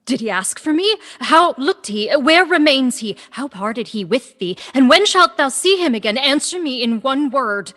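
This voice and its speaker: A woman's voice: high-pitched, expressive, normal speed, hardly any pauses, a bit bright, long reverb tail. A woman playing a witty, lovestruck young woman disguised as a youth in a Shakespearean radio play, eager and playful. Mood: lovestruck excitement. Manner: eager, playful, theatrical verse-like delivery.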